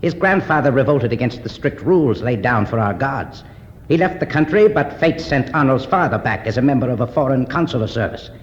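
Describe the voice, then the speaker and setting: A man's voice: mid-range voice, lively intonation, fairly quick, natural voice. Man acting a solemn cult leader, cavernous old radio. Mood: solemn, resigned. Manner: formal, declamatory. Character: solemn, commanding male Egyptian cult leader. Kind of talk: 1950s radio drama acting. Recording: muffled, noisy 1950s radio recording, reverberant.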